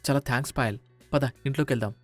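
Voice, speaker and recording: A man's voice: medium pitch, rapid-fire, no echo, faint music behind, close to an everyday voice. A man playing a timid, evasive young adult son who coaxes and over-explains in a dramatised moral story. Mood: pleading. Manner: hesitant, coaxing, over-explaining.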